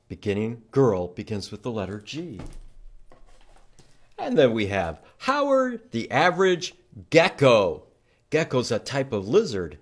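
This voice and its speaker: Male children's storytime host, medium pitch, animated intonation, simple repetitive teaching that invites kids to repeat. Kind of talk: children's storytime reading and letter lesson, with some singing.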